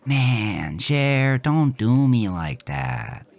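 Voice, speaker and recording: A man's voice: mid-range voice, very animated, very slow, voice pushed up, full character voice. A man voicing a reluctant young buddy who pleads and sighs. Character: reluctant, uneasy young male buddy Rob.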